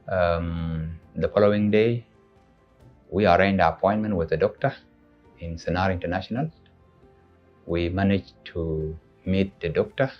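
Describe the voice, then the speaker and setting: South sudanese guy, deep voice, halting testimonial, muffled audio w faint music. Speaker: patient's husband giving a testimonial. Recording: dull audio under background music.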